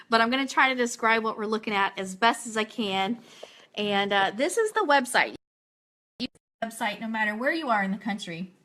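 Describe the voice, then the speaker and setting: A woman's voice: on the high side, sharp tone. A woman hosting a radio show livestream in a casual, chatty, informal way.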